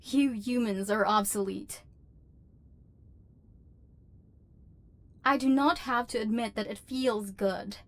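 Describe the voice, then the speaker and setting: A woman's voice: a little high, moderate pace, dry recording, lightly acted. A woman voicing an embittered, lonely robot overlord in an ASMR roleplay, with formal, precise diction and hurt tenderness underneath. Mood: cold, guarded. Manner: formal, precise, stilted diction with wounded feeling. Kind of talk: scripted ASMR roleplay acting.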